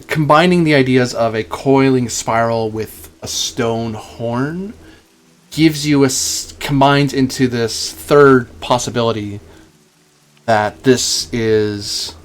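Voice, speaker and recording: A man narrating a tabletop game as game master, in a halting, deliberate way with pauses. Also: medium pitch.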